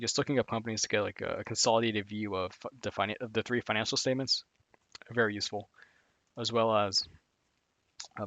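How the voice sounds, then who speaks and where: Low dry-voiced rambling male streamer. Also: vocal fry, pushed and bright, no room sound. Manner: rambling, heavy on "like" and "again", frequent restarts. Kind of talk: casual live-stream commentary on finance resources.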